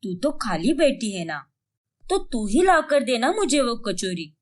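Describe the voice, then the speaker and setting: A bossy elderly sister in a domestic audio story, put on by a woman. She wheedles and grumbles with very animated intonation. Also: a little high, unhurried. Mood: wheedling. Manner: coaxing, then grumbling. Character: bossy, wheedling older sister with aching knees.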